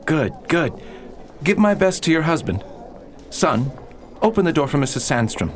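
A man's voice: fairly high pitch, big pitch swings, moderate pace, faint music behind. A man playing an older father and town druggist in an audio drama, with noise in the recording. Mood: genial, courteous. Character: ailing father and druggist, polite, anxious old patient.

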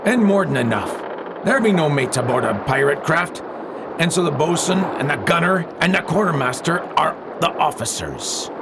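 An actor playing a rough, mutinous pirate crewman in a period radio drama, blunt and theatrical. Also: a little high, big pitch swings, normal speed, noisy background. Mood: indignant.

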